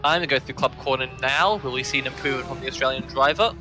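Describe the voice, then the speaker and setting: A man with a bright, forceful voice calls an online sim race in a relaxed, unhurried way. He pauses often with fillers between updates. Faint music plays underneath. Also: medium pitch. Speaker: race commentator. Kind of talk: sim racing commentary.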